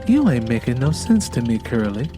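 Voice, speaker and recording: A man voicing a weary, plainspoken young pregnant farm wife in an audiobook, with a plaintive, gently challenging manner. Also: mid-range voice, sing-song, moderate pace, music in the background, natural voice. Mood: puzzled, challenging. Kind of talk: dramatized audiobook character dialogue.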